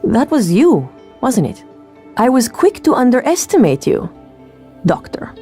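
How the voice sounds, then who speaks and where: A woman's voice: on the high side, huge pitch swings, unhurried, mellow, soft background music, heavily acted. A woman playing a tough, guarded military captain in a sci-fi video game, speaking in a blunt, commanding way. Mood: businesslike. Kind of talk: video game drama acting.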